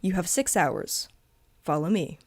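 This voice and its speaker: A woman's voice: lowish voice, animated, unhurried, a little hiss or hum. A woman acting a curt, disapproving coworker who hands out missions in an audio drama, dry and sarcastic. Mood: businesslike.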